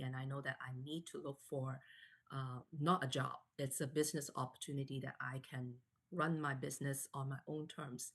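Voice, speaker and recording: A woman in her forties from Canada, a guest in a live online interview, telling her story softly with many fillers and restarts. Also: rumbling low, vocal fry.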